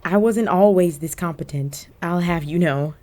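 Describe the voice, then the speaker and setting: A woman's voice: lowish voice, mellow, lightly acted. A woman playing a flirty, cunning cat burglar villain in a roleplay audio drama, speaking coyly and teasingly to the listener. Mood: sly, teasing. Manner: coy, teasing, drawn-out phrasing with terms of endearment.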